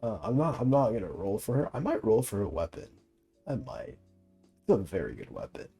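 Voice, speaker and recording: A man's voice: on the low side, huge pitch swings, fairly quick, gravelly, dark tone, slightly rough, faint music behind. Young man casually reacting to a game. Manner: slangy, swearing, joking. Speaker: gaming streamer.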